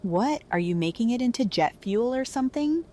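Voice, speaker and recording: A woman's voice: fairly high pitch, expressive, normal speed, dark tone, slight background noise, lightly acted. An actress playing a jaded, cutting woman who bargains hard, speaking in a blunt, confrontational way in an audio drama. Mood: demanding, unimpressed.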